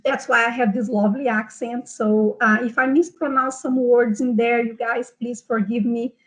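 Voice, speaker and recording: A woman's voice: a little high, steady tone, moderate pace, poor audio. Brazilian woman giving a health seminar. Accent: Brazilian Portuguese. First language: Portuguese. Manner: frequent fillers and restarts, speaks directly to the audience. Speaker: seminar presenter. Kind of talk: informal health seminar talk.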